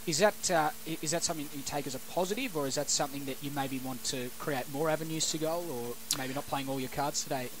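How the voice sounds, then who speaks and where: A man with a voice going hoarse, asking hesitant, filler-heavy questions as a radio interviewer. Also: a little high, fast, few pauses, a little hiss or hum, low-quality recording.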